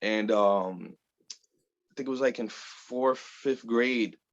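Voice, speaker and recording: A man's voice: mid-range voice, slow, highs cut off. A man in his thirties from the Bronx, chatting loosely as an interview guest, full of fillers and casual swearing. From the Bronx, New York. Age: 30s. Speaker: guest artist.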